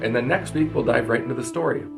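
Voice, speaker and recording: A man's voice: medium pitch, quick pace, vocal fry. Casual man introducing his audiobook over music. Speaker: audiobook creator and host. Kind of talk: casual spoken introduction to listeners.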